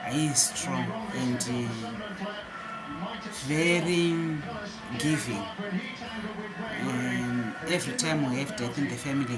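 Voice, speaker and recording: A middle-aged woman answering interview questions in a living room, speaking in a measured way with hesitations and restarts. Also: very deep voice, rough recording. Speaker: interviewee, wife of the celebrant. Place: living room with a display cabinet. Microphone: camera in front, at close range.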